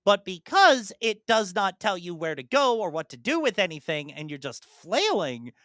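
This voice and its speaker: A man's voice: sits high, big pitch swings, moderate pace, a bit bright, no room sound, clean studio sound. A young man and podcast co-host chatting casually about video games, rambling through detailed explanations with many ums and restarts.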